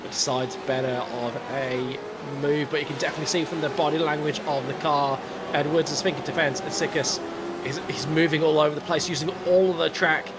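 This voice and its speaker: A man with an Australian accent calling a live sim race as commentator, carrying a cold, steady and explanatory. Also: on the high side. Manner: measured play-by-play, explains moves. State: has a cold. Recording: loud game car noise behind.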